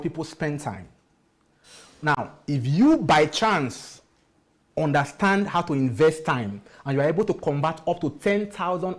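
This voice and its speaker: A man speaking at a motivational workshop, emphatic, repeating key phrases and putting rhetorical questions to his audience. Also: a little high.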